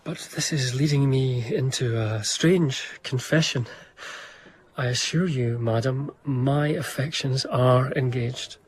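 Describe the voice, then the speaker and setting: An actor playing an eager, reverent young gentleman diarist in a radio drama, speaking formally and effusively. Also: mid-range voice, slow, husky. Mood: ardent. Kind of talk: radio drama dialogue and diary narration.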